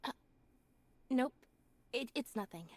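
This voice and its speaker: Deadpan, high-voiced young catgirl assistant. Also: takes it slowly, heavily acted. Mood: flat, drowsy. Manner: deadpan, reserved. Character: aloof young catgirl magician's assistant, quiet, deadpan, watchful. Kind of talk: video game character voice lines.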